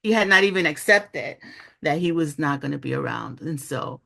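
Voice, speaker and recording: A woman's voice: deep voice, lively intonation, normal speed, gravelly, bright, forceful tone. A middle-aged woman from New York City, speaking as an author in a reflective interview, telling her story candidly with many "you know" asides. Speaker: author, journalist and guest.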